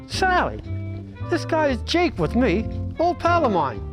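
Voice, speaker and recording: Man as a high-pitched, excitable old pal. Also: measured pace, over music, heavily acted. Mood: hearty. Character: limping war-veteran piano player, excitable old pal. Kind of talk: 1940s radio detective parody acting.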